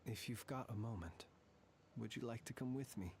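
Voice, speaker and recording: An actor playing a calm male soldier who speaks in a measured, solemn way. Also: mid-range voice, slight background noise, close to an everyday voice.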